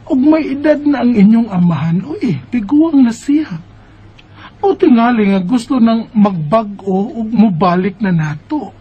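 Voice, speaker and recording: A woman's voice: fairly high pitch, lively intonation, measured pace. A woman with a Cebuano Filipino accent playing a forgiving, self-sacrificing middle-aged mother in a Bisaya radio drama. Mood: soothing, pleading. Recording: muffled lo-fi radio drama, noisy background.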